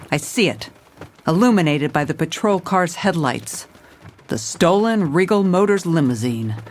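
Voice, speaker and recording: A woman's voice: on the low side, slight background noise, lightly acted. An actress playing a hard-boiled, weary female police commissioner in a noir audio drama, with a wry, clipped delivery. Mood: focused narration. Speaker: narrator and lead character.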